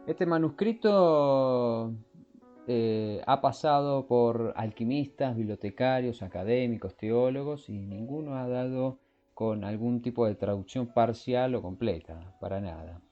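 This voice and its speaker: A man hosting a podcast, explaining things informally and often pausing to search for his words. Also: mid-range voice, mellow, muffled recording, soft background music. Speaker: podcast host. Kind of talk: scripted intro and informal explanatory talk.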